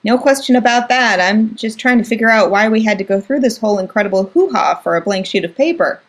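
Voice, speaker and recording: A woman voicing an irritable, tough teenage girl who talks to her younger brother in a sharp, exasperated way. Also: fairly high pitch, quick pace, close to an everyday voice.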